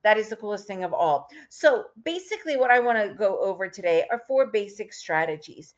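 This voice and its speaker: A woman's voice: mid-range voice, animated, brisk, lo-fi sound. A middle-aged woman who grew up in the United States, with Russian as her first language, presenting a trading webinar in an upbeat, chatty way and laughing easily.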